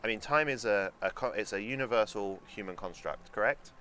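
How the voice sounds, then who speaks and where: A young man with a low voice talks casually over a theme park game on a live stream. His tone is bright and forceful. He rambles to his chat, makes sarcastic asides and swears, with a faint hum of background noise. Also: a little fast. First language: German.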